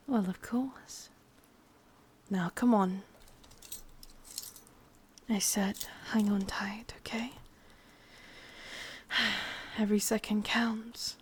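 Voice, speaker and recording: A woman acts out a sadistic, doting witch in an ASMR roleplay, with an English accent. The voice is mostly a breathy whisper, creaking at the edges and unhurried. She coaxes and commands softly, intimate and possessive. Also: mid-range voice, heavily acted. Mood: tender, coaxing.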